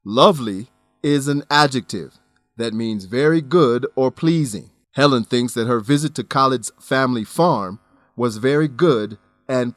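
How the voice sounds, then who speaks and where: A man reading scripted English-lesson narration as a teacher, deliberate, instructional and carefully enunciated. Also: medium pitch, dry recording.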